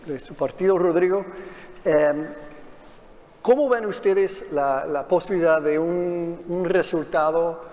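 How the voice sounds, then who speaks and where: Moderator speaking Spanish with an English accent. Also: male voice, on the high side, slow pace, a little mellow. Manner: hesitant, many fillers and restarts. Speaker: panel moderator. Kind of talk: moderating a political panel. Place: conference hall. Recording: long reverb of a conference hall.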